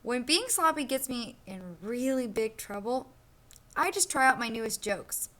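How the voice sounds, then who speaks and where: A sloppy, cheerful young storybook boy voiced by a woman reading aloud tells his own tale. The voice sits fairly high, with expressive emphasis and childlike boasting. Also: measured pace. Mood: determined.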